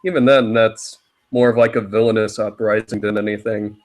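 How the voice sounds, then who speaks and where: A man's voice: on the low side, little pitch movement, normal speed. A man chatting casually as a guest commentator, with a loose, joking way of talking. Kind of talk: casual chat.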